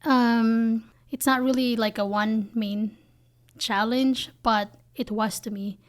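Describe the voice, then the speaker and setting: A woman's voice: on the high side, steady tone, slow. A woman in her thirties from the Philippines with a Filipino accent, answering interview questions earnestly and often saying "you know", on a desk podcast mic in a small office. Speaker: guest, company founder. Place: small office studio with bookshelves. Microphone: desk podcast mic on boom arm.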